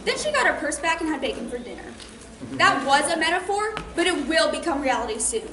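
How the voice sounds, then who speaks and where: A very high, lively girl's voice acted by a young woman rings out in an echoey, noisy theater. She is theatrical and sarcastic, full of indignant menace in a school stage monologue. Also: fairly quick. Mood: mock-sweet, menacing. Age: teenager or young adult. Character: indignant, sarcastic teenage girl menacing suspected purse thieves.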